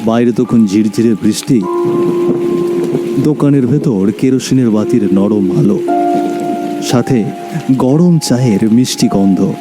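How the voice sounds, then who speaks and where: A man narrating a scripted dramatic story in a solemn, deliberate way, with music behind him. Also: medium pitch, poor audio.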